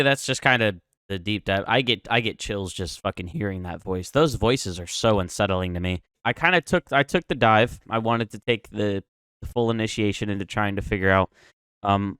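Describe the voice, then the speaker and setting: A man's voice: lowish voice, a bit bright, clear, resonant tone, dry recording. A man giving casual, slangy, profanity-laced reaction commentary as a YouTuber. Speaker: YouTuber reacting to horror videos.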